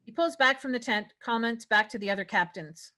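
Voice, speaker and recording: A woman's voice: fairly high pitch, a little fast, pushed and bright. A woman reading stage directions as the narrator at a script table read.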